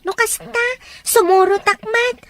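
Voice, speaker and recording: A woman's voice: very high-pitched, fairly even intonation, a little slow. A woman voicing a friendly, eager young schoolgirl in an Ilocano audio drama.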